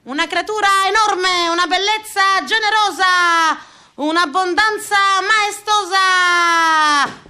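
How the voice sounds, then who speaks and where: Fairground barker, bombastic, high-pitched, slow stretched-out cries, theatrical radio monologue. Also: female voice, bright, forceful tone, a little hiss or hum, full character voice. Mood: bombastic hawking. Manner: declamatory, drawn-out crying out of phrases. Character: bombastic fairground sideshow barker hawking a spectacle.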